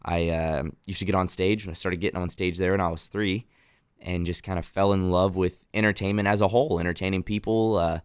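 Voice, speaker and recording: A man's voice: deep voice, fairly quick, clean tone, dry recording. A nineteen-year-old man from Nashville with a mixed Southern and Midwestern accent, chatting as a guest in a radio studio. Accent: mix of Southern and Midwestern American. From Nashville, Tennessee. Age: young adult. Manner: friendly, animated storytelling with restarts. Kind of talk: casual radio interview chat.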